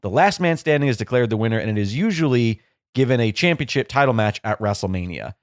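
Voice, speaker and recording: A playful young man hosting a podcast from home, his voice a little stuffed up and nasal. His pitch swings lively from line to line as he jokes with his co-host. The sound is close and dry, with no room in it. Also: quick pace, dry recording. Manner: playful, joking, animated. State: congested and nasal. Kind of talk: casual podcast chat. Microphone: studio microphone.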